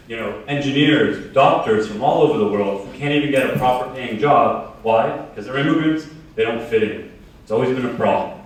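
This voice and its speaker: A man's voice: medium pitch, distant mic, slight background noise. A man in his thirties giving a casual, joking keynote talk to a live audience, telling his story in a large conference hall. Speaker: keynote speaker. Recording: very echoey hall, heard from afar.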